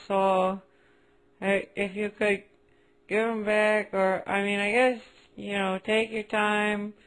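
Meek male cartoon sad sack: slow, hesitant, gloomy, forever apologetic. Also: high voice, fairly bright tone, poor audio. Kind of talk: cartoon phone-message acting.

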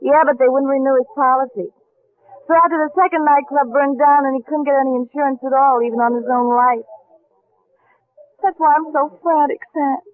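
Casting: woman as needy, talkative crook's wife, high voice, 1940s radio melodrama, thin narrow-band sound. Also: steady tone, fairly quick, lightly acted. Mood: tipsy, rambling. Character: tipsy, needy gangster's wife, frantic and chatty. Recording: muffled old 1940s radio recording, faint music.

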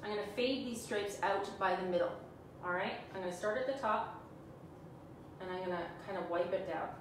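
A woman's voice: mid-range voice, moderate pace. A Canadian woman in her forties, talking viewers through a live furniture painting demo in a chatty, self-teasing way. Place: small room indoors, sitting on the floor. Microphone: camera on a stand a few feet away. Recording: echoey room, far from mic, background hum.

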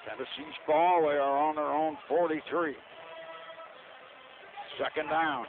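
A man's voice: a little high, steady tone, a little slow, music in the background. A man calling American football play-by-play live on the radio, rooting for his team in short, clipped phrases. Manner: partisan, clipped fragmentary phrases. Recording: lo-fi radio broadcast, narrow band, loud noise.

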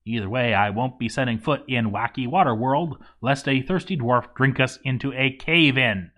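A man giving an expressive scripted reading of a game story, with wry, deadpan asides. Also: medium pitch, moderate pace. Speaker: let's-read narrator.

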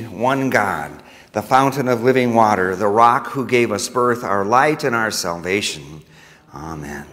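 An American man speaking as a pastor leading worship, reading liturgy to a congregation in a measured, solemn manner. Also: medium pitch, fairly bright tone. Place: church sanctuary during a service. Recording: reverberant church hall.

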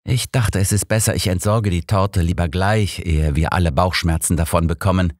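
A man voicing a lively, outspoken younger woman in an audiobook, with an animated, friendly manner. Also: sits low, normal speed, no room sound, clean studio sound, close to an everyday voice. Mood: sheepish. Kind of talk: audiobook character voice.

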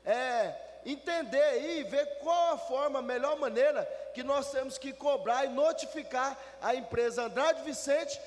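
A man with a very high-pitched voice presses a complaint at a council meeting, urging his colleagues to act. He speaks into a table microphone, and the sound carries the long reverb of a large chamber. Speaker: city councillor. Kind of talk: formal council speech. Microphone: table gooseneck mic.

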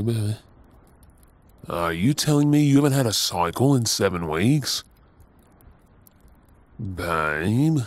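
An Australian man playing a tender, doting young husband in an intimate ASMR audio roleplay, with a soft, breathy voice. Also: on the low side, dry recording, full character voice.

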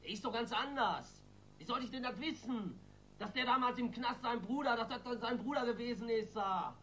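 A man's voice: very high-pitched, normal speed, bright, forceful tone, rough recording. An angry young criminal in a German radio crime drama. He is desperate and slangy, protesting in an agitated way, over a faint background hum. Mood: desperate, pleading.

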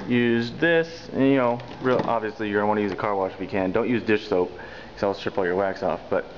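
A man's voice: lowish voice, a little fast, highs cut off, noisy background. A young man filming a casual vlog, joking and explaining car care to the camera on a handheld camera. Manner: upbeat, joking, talks to the camera. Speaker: vlogger. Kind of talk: casual road-trip vlog with detailing tips.

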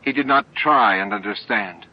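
A man's voice: lowish voice, moderate pace, natural voice. A man voicing an earnest, idealistic insect-like alien leader in a dramatized audiobook, reasoning with strained patience. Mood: bitter regret. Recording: dull lo-fi audiobook tape with music.

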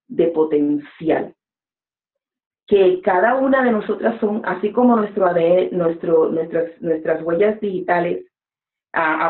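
Woman preacher in her 40s giving earnest advice over a lo-fi, echoey video call. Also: lowish voice. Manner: earnest, exhorting, with gestures. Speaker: guest preacher. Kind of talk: motivational interview talk. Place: home room with bookshelves. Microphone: webcam on a video call. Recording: echoey room, phone-like sound.

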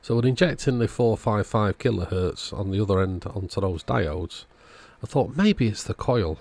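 Deep guy narrating a repair, bit husky, calm and methodical, workbench video. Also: normal speed, no echo. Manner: calm, methodical, thinking aloud. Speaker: electronics repair video host. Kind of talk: casual narrated repair walkthrough. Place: home electronics workbench.

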